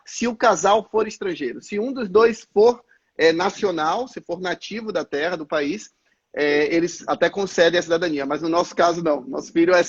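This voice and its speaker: A man, a missionary pastor answering interview questions in a measured, explanatory way with frequent filler sounds. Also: high voice, slightly rough, highs cut off. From Brazil, Salvador. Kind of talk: live-stream interview answers.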